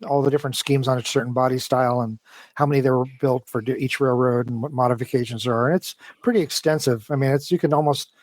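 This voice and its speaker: Male interviewee, engineer type. Chatty, wandering, stop-start delivery, average pitch, close dry sound. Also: fairly even intonation, a little mellow, no room sound. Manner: rambling, halting, talkative. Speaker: interview guest, model train design engineer. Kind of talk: casual, detailed interview talk.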